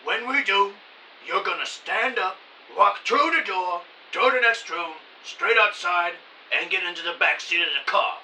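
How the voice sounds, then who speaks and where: A bossy middle-aged gangster, played by a man with a high-pitched, very sharp voice. He issues menacing orders in mobster slang, in a comic audio drama recorded slightly off mic with faint hiss. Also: measured pace, full character voice. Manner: old-time mobster slang, threatening orders. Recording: slightly distant, hissy home recording.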